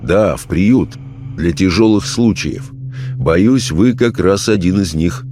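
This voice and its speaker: A man playing a calm, firm seance questioner in an audiobook, measured, grave and insistent. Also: sits low, faint music behind.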